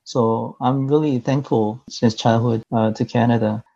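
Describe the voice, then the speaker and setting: A man from Korea with a Korean accent, answering interview questions in careful English with many filler pauses. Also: medium pitch, fairly even intonation, vocal fry, warm tone, breathy edge. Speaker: interview guest. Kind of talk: recorded video call interview. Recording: dull muffled audio.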